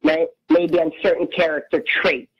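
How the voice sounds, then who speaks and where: A woman's voice: sits low, steady tone, normal speed, husky, highs cut off. A woman chatting candidly as a podcast guest, frank and full of restarts. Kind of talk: casual chat.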